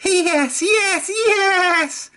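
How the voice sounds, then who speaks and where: A man voicing mischievous, troublesome freight trucks in a children's storybook, excitable and conspiratorial. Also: very high voice, drawn-out words, pitched above the performer's own voice, heavily acted. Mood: gleeful.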